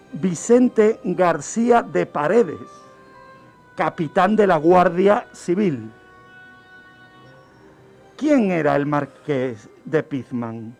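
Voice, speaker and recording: A man with a high-pitched voice tells history on the radio. He takes his time, explaining like a teacher and posing questions that he then answers. Faint music plays behind him. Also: darkish tone. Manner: didactic, asks and answers own questions. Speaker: guest criminologist telling crime history. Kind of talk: radio storytelling of historical events. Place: radio studio. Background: background music.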